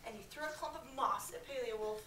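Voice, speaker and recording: An American woman reads a story aloud in a high, projected schoolteacher voice. She is expressive and breaks off to question her pupils. She is picked up from across an echoey classroom with faint noise behind her. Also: fairly quick, distant mic. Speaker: elementary school teacher. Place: medium-sized school classroom, indoors. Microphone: far camera.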